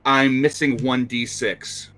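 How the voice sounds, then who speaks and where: A man's voice: mid-range voice, steady tone, takes it slowly, bright, forceful tone, faint music behind. A man talking casually and matter-of-factly as a player in a tabletop game.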